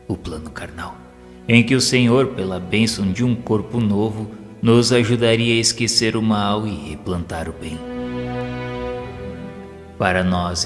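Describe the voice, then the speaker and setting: A man with a fairly low voice narrates an audio novel. His delivery is solemn, formal and measured, set in a long reverb over faint music. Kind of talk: scripted dramatic narration of a spiritual story.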